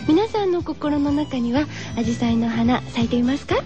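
A woman hosting a radio show, chatting gently and girlishly as she muses to her listeners. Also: sits high, expressive, moderate pace, music in the background. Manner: gentle, girlish musing with rhetorical questions to listeners. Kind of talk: chatty solo radio talk, reading listener postcards.

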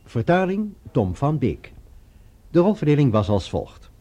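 A man's voice: medium pitch, sing-song, a little slow, dark tone. A man announcing the credits of a radio drama in a scripted, formal announcer's style.

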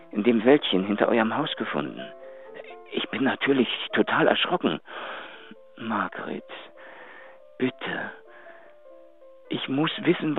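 An actor in a radio drama playing a remorseful, anxious lover who stammers and pleads into an answering machine over a phone line. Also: male voice, mid-range voice, vocal fry, full character voice. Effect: telephone answering-machine filter. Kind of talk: German radio drama acting. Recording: tinny phone line, faint music.

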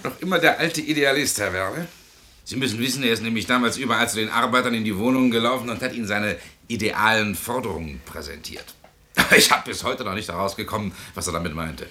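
A man's voice: lowish voice, quick pace, pushed and bright, a little hiss or hum. A man playing a cynical, mocking doctor in a German radio play, with a sardonic, blunt way of talking. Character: cynical, mocking male doctor, worldly realist.

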